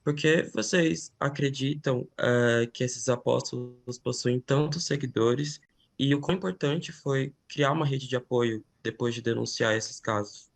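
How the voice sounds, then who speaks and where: A Brazilian reporter asking a question at a press conference, hesitant with many fillers, Brazilian Portuguese accent. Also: male voice, medium pitch, a little slow, creaky. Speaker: foreign reporter.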